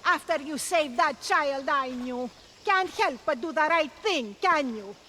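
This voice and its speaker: A woman's voice: high voice, expressive, measured pace, pushed and bright, slight background noise, exaggerated voice. A woman playing a sinister, fanatical cult priestess in a video game, grandiose and taunting. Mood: smug, seductive. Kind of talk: dramatic video game villain acting.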